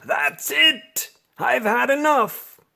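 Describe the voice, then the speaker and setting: Man voicing an exasperated, high-pitched storybook king. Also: drawn-out words, vocal fry, pushed and bright, heavily acted. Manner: exclaiming, indignant.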